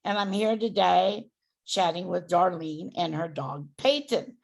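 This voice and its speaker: Hoarse, gravelly-voiced woman dog trainer. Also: lowish voice, a little slow. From San Francisco Bay Area. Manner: instructive, loud and emphatic. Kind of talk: casual training chat.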